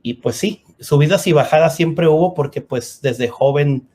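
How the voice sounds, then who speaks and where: A man's voice: fairly high pitch, moderate pace, darkish tone. A man, a guest, giving a personal faith testimony and helping his wife find her words. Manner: helps translate his wife, fills in words.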